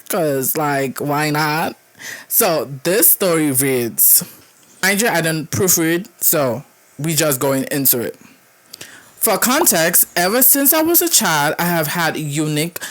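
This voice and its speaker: A young woman with a notably low voice reads horror stories aloud. She keeps cutting in with dramatic, reactive asides and stumbles over typos as she goes. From Caribbean. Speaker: YouTuber reading horror stories. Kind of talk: reading aloud with casual commentary.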